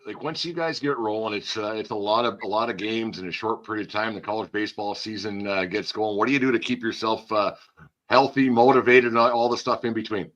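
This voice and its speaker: Male sports podcast host, lower register, folksy and rambling, circles back on his words, loose conversational interviewing. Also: steady tone, brisk, fairly bright tone, dull audio. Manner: folksy, rambling, repeats words while thinking.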